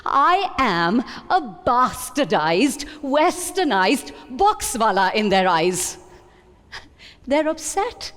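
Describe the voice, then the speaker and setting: Proud male theatre director, played by a woman reader, high-pitched, emphatic, insistent, reverberant hall. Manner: emphatic, insistent, repeating words. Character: proud, embattled Indian theatre director, a grown man. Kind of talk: dramatic reading of quoted dialogue.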